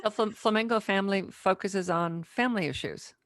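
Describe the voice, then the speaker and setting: A woman interviewing casually as host, halting and repeating herself, with a self-deprecating way of talking. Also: mid-range voice.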